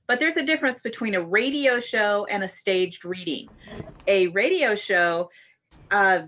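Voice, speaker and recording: Bright-voiced woman hosting a show. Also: mid-range voice. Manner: explanatory, addressing an audience. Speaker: theater company host introducing a show. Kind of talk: live introduction to an online staged reading.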